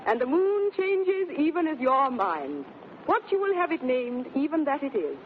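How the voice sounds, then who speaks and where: An actress playing a spirited, sharp-tongued wife in emphatic, theatrical 1930s radio Shakespeare style. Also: female voice, high-pitched, sing-song, normal speed. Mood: weary submission. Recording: narrow, noisy vintage 1930s radio recording.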